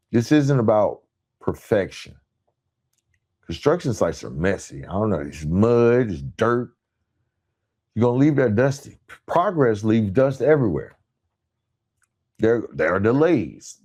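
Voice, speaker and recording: Low, mellow-voiced man giving a motivational talk. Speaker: podcast host. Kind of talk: motivational monologue.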